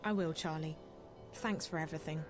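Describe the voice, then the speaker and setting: A woman's voice: sits low, fairly even intonation, fairly quick, music in the background, lightly acted. A woman voicing an earnest, determined young woman in a game, sincere and a little hesitant. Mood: shaken, grateful. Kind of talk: naturalistic game voice acting.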